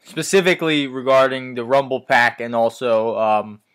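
A man making a deadpan prank phone call, speaking in an earnest, polite and hesitant way. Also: mid-range voice, normal speed, clear, resonant tone.

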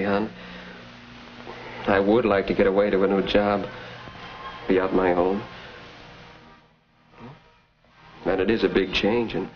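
Sincere, sensitive young American husband, 1950s instructional drama; deep-ish voice, hissy vintage soundtrack. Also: male voice, creaky. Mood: tender, hopeful. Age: 20s. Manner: earnest, halting. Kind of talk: 1950s educational film acting. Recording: muffled, noisy vintage 1950s film soundtrack.

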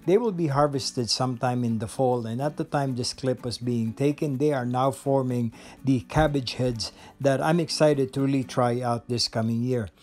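A man's voice: mid-range voice, moderate pace. A man giving a voice-over garden tour, explaining his vegetable beds with eager enthusiasm and the odd filler. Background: soft guitar music.

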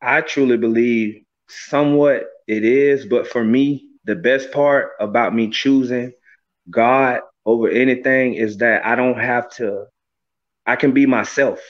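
Man, 30s, video-call guest; earnest, reflective, filler-heavy talk; middling pitch; slightly dull lo-fi audio. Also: a little slow. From Florida, USA. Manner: earnest, reflective, many "you know" fillers. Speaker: gospel recording artist, guest. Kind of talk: casual interview over video call. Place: small room indoors. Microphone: webcam on a video call, close framing.

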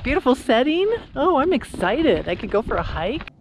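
A middle-aged woman casually chatting while on a motorcycle trip, upbeat and easily excited. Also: high-pitched, normal speed, slight background noise, low-quality recording. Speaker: motorcycle travel vlogger. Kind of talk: casual chat. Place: outdoors, on a motorcycle and at a forest campsite.

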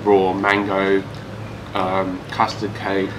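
Low-voiced young English man, noisy room. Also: monotone, slow pace. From England. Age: young adult. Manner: talks while eating. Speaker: food review vlogger. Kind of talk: casual mukbang food review. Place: small room, seated at wooden table. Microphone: camera across the table. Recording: noisy ambient room recording.

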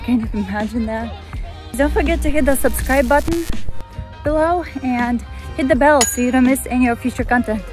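A woman's voice: a little high, a little mellow. A woman vlogging on a fishing trip from a boat on a lake, chatty and cheerful, laughing often. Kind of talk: casual outdoor vlog narration.

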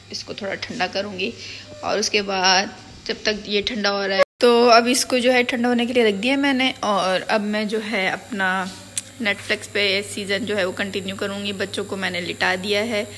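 A woman's voice: medium pitch, steady tone, normal speed, a bit bright. A woman in her thirties with a Pakistani accent, chatting casually to her phone as a vlogger and mixing in English words. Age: 30s. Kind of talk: casual vlog chat to camera. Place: clinic lobby, optical shop and home kitchen. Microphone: phone at arm's length. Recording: noisy phone audio with street and room noise.

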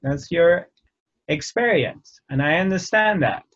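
A man in his fifties giving a slow, halting spiritual talk to camera, often restarting his phrases, recorded in a dark indoor room. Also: a little high, animated. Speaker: spiritual teacher. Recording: dull lo-fi sound.

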